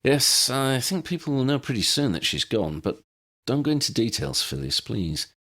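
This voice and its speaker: A man's voice: medium pitch, sing-song, normal speed, gravelly, pushed and bright, exaggerated voice. A man voicing a serious, discreet young male team leader in an audiobook, direct and polite.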